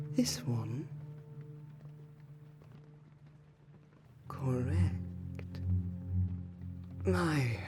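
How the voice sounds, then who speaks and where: Over clear background music, a woman performs a centuries-old noble lady vampire speaks in a fantasy roleplay. She is exhausted and sorrowful, with very slow, halting, archaic phrasing. Her delivery is intimate, with sighs and breathy whispered turns. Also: low voice. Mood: measured, weary. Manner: formal archaic diction, halting, sighing, slipping into breathy whispers. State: exhausted and pained. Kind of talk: fantasy roleplay audio drama, intimate monologue. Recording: music behind the voice.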